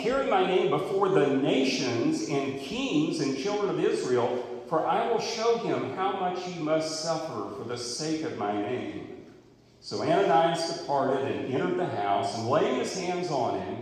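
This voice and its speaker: Male preacher, Bible teaching, reads verses then explains, big echo, long reverb. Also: medium pitch. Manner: reads scripture aloud, then explains it conversationally. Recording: echoey hall with long reverb.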